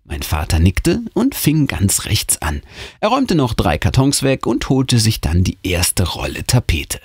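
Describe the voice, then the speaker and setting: A man's voice: lowish voice, wildly swooping pitch, a little slow, husky, no echo, studio quality. A man reading a scary story aloud as host, in a theatrical, suspenseful audiobook manner.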